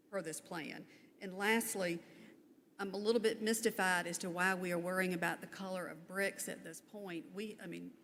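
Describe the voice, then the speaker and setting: Woman, lowish voice, firm polite public commenter, reverberant room, faint noise. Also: fairly bright tone. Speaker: resident giving public comment. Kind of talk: semi-formal public comment. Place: large meeting room. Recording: echoey hall, some background noise.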